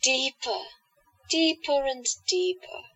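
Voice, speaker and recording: A woman's voice: high-pitched, takes it slowly, fairly bright tone, faint music behind, rough recording. A woman playing a confident, affectionate otherworldly woman with hypnotic powers in an audio drama, commanding yet doting.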